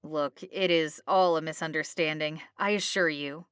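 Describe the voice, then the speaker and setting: A woman's voice: deep voice, fairly even intonation, moderate pace, fairly bright tone, clear, resonant tone, no echo, studio quality. A woman voicing an earnest, loyal retired male soldier in a storybook, politely pleading his case. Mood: imploring.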